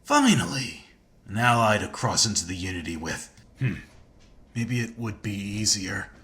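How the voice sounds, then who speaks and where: A man's voice: lowish voice, very animated, normal speed, fairly bright tone. An actor playing a world-weary, sardonic man in a video game, speaking in a dry, wry, probing way. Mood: wry, suspicious. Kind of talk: video game character dialogue.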